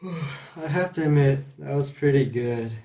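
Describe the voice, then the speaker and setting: A woman's voice: low voice, unhurried, warm tone. A woman playing a blunt, level-headed young friend in an audio drama, frank and casual. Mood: drowsy, casual.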